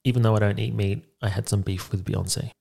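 A man's voice: fairly low pitch, steady tone, fairly quick, gravelly, a little mellow, breathy edge. A young man co-hosting a casual chat, teasing and dry-humored. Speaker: podcast co-host.

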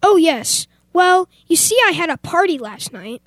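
A young woman playing a fussy, wealthy, oblivious gentleman in an amateur old-time radio play. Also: very high voice, very animated, unhurried, dry recording, clean studio sound, exaggerated voice. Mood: polite, breezy. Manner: fussy, exclamatory, posh phrasing.

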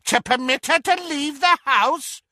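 A man performs an elderly storybook witch with a high, squawky voice. She is a malicious, cackling gossip who talks over everyone, her pitch leaping about as she needles and insinuates. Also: huge pitch swings, a little slow, bright, forceful tone, no room sound, clean studio sound, full character voice. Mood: gleeful, scornful.

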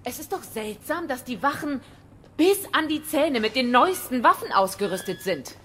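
Resolute rebel leader. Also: female voice, sits high, animated, moderate pace, fairly bright tone. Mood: suspicious. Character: resolute woman rebel leader, grave and defiant. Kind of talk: dramatic video game dialogue.

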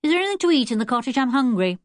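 Woman acting out young boy, cocky, taunting, offhand, animated intonation, children's storybook, dry close sound. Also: high-pitched, racing, no echo. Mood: rude.

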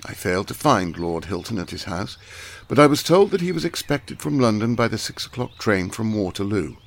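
A man narrating a classic novel aloud in a measured, precise reading. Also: lowish voice, gravelly. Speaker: audiobook narrator.

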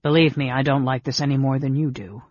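A man's voice: medium pitch, steady tone, fast, darkish tone, lo-fi sound, natural voice. A man voicing a middle-aged half-elf adventurer in a fantasy audiobook, earnest and exasperated. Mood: irritated, insistent.

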